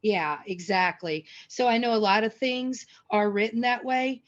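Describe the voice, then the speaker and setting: A woman's voice: mid-range voice, a little slow. A woman giving casual, reflective feedback on a video call, thinking aloud as she goes. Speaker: table read participant and writer. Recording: dull lo-fi video call audio.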